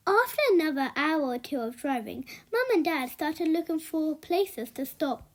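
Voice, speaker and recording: A woman reading a children's book aloud as an expressive storyteller. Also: high-pitched, normal speed.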